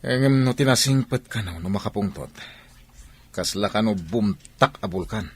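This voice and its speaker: A man's voice: fairly low pitch, animated, normal speed, breathy edge. An actor playing a frank, probing godfather with a Filipino accent in an audio drama. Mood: probing, chiding. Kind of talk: Ilocano audio drama acting.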